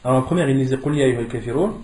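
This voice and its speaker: A man's voice: mid-range voice, very fast, warm tone, soft background music. A man giving an interactive religious lesson, posing questions in a didactic way and repeating key phrases for emphasis. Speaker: religious teacher. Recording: echoey room.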